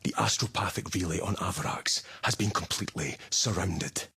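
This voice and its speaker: An actor playing a stern, battle-hardened Space Marine commander in a video game, giving terse, authoritative orders in a gritty voice. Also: male voice, sits low, normal speed, gravelly, pushed and bright, slightly rough, heavily acted. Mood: grave, commanding. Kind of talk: dramatic video game voice acting, mission briefing.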